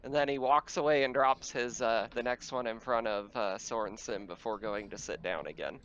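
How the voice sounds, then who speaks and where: A man's voice: medium pitch, moderate pace, fairly bright tone, smooth, lo-fi sound. A man running a tabletop roleplaying game, narrating casually as game master.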